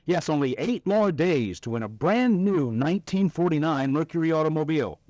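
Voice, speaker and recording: Man as lively, emphatic radio contest announcer. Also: on the high side, a little fast, dry recording, lo-fi sound, lightly acted. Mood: eager, promotional. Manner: promotional, emphatic. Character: eager male 1940s radio contest announcer. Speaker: commercial announcer. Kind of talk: 1940s radio advertising announcing.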